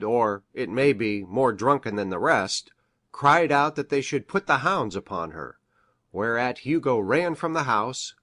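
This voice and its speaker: A man acting as an earnest male doctor in an audiobook drama, recorded close and dry. His mid-pitched voice is formal and solemn, telling an old-world tale with measured care. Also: fairly bright tone, no room sound, natural voice. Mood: dramatic storytelling. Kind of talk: dramatic audiobook reading of archaic narrative.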